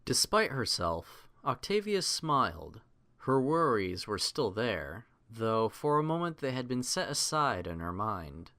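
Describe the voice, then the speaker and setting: A man narrating a scripted story as an audiobook reader, in measured literary storytelling. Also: medium pitch, big pitch swings, bright, forceful tone.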